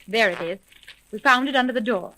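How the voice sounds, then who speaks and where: An actress playing a shrewd, cautious woman private detective in a 1930s radio serial, commanding and deliberate. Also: on the high side, pushed and bright. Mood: businesslike. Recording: noisy 1930s radio recording.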